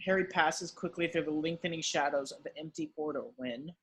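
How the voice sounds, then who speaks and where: A man's voice: a little high, brisk, very noisy. A man narrating screenplay directions at an amateur table read.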